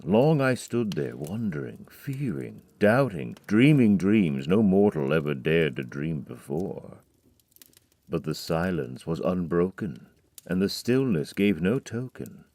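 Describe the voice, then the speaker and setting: Deep, slow man reading dark verse. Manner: measured, brooding recitation with hushed drops. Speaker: narrator. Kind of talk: dramatic poetry reading.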